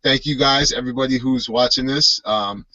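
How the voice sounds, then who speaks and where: A man's voice: mid-range voice, fairly even intonation, normal speed, sharp tone, no echo, lo-fi sound. A man in his thirties hosting a live video call, friendly and upbeat. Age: 30s. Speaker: host.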